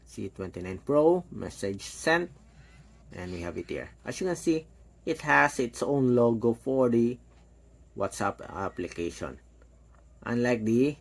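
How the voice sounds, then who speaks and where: A man giving a casual, hands-on product demo, talking step by step in short, halting phrases. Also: on the low side, creaky. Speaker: product reviewer.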